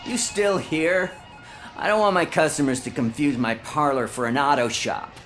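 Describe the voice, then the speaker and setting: An actor playing a surly, mocking tattoo artist in a video game, with a sarcastic, defiant manner. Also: male voice, a little high, moderate pace, a bit bright, faint music behind, lightly acted. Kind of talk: video game dialogue acting.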